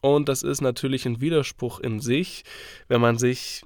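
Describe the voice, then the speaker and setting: A man's voice: medium pitch, fairly even intonation, normal speed, darkish tone, no room sound, studio quality. A man with a cold and a congested, stuffy voice hosts his own podcast, talking casually and thinking aloud with fillers. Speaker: podcast host. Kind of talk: solo podcast commentary.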